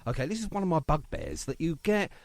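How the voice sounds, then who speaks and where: Animated, chatty male radio host. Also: fairly high pitch, fast talker, vocal fry, dry recording. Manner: chatty, enthusiastic, effusive. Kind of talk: casual music interview chat.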